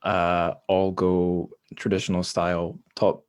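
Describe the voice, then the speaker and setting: A man's voice: low-pitched, monotone, slow, smooth, no room sound, studio quality. A Scottish man hosting a podcast interview in casual chat, rambling with self-deprecating asides. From Scotland. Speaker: podcast host.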